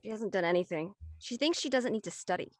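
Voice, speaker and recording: A woman acting a stressed, studious high school girl in a dramatic read, serious and testy. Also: lively intonation, quick pace, no echo, clean studio sound, natural voice. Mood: exasperated. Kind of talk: online dramatic table read.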